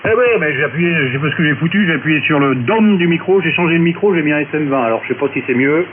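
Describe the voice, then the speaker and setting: Ham radio man talking gear casually, halting and matter of fact, tinny signal with clear static. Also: on the high side, expressive. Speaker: amateur radio operator. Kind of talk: casual technical radio chat. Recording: narrow, noisy shortwave radio transmission.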